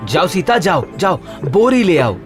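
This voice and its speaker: A humble and hopeful village husband from a cartoon folktale, played by a man. His earnest voice has a very lively melody, with music clearly playing behind it. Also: sits high, measured pace. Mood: reassuring. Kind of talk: cartoon voice acting.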